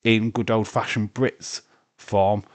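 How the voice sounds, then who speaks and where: A man's voice: on the low side, little pitch movement, takes it slowly, no echo. A man hosting a casual livestream, arguing his point, stumbling over words and laughing. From England. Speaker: livestream host.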